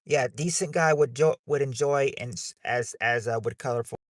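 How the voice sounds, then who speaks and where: A man's voice: mid-range voice, normal speed, no echo, studio quality. A man hosting a livestreamed game night, chatting loosely and often restarting his sentences. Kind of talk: casual chat while hosting a game.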